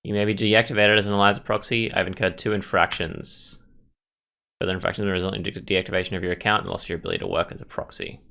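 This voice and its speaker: A man's voice: low-pitched, lively intonation, fast, gravelly, sharp tone. A man hosting a gameplay video, commenting and reading the game's text aloud. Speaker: gaming video host.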